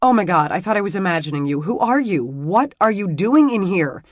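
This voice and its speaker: A narrator voicing a flustered, sharp-tongued young woman doctor who bursts out in rapid, exasperated lines in an audiobook. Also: medium pitch, expressive, no room sound, studio quality. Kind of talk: audiobook character voice.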